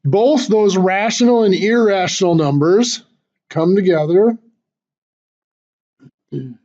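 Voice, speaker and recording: A male teacher giving a math lecture in class, hoarse and clearing his throat as he loses his voice, explaining step by step with fillers and restarts. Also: high-pitched, takes it slowly, a little mellow, muffled recording.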